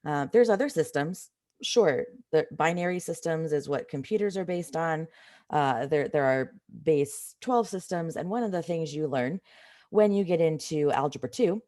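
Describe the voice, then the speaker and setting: A woman with a slightly raspy voice from a cold, teaching an informal live online math lesson in a conversational way, stressing key points. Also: low-pitched, mellow. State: has a cold.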